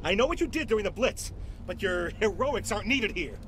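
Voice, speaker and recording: A man's voice: sits high, lively intonation, fairly quick, a bit bright, a little hiss or hum, poor audio. A man voicing a panicky, hostile corporate official in a video game, jittery and snapping. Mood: nervous, bitter.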